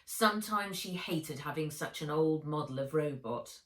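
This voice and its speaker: Woman narrator, low pitch, unhurried, expressive children's audiobook reading, roomy echo, distant mic. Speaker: author reading own book aloud.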